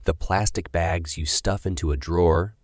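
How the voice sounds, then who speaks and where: A man's voice: deep voice, fairly even intonation, normal speed, no room sound, studio quality. A man reading scripted passages aloud as narration. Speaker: reader of practice passages.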